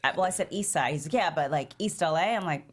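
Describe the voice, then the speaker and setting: A woman's voice: low-pitched, fast, background noise. A woman talking casually as a panelist in a studio group discussion. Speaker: panelist giving script notes.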